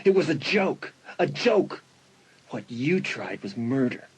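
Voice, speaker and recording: Low-voiced, sullen teenage sibling. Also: female voice, big pitch swings, slow pace, breathy edge, heavily acted. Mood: accusing. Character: sullen, guarded older sibling, teenage Dorian. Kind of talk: dramatised audiobook acting. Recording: faint background noise.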